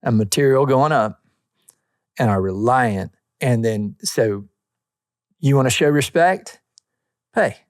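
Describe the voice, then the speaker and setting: A man's voice: medium pitch, lively intonation, slow, long pauses, dry recording. Informal male podcast co-host, dry sound. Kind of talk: casual podcast chat, reading listener messages aloud with short comments.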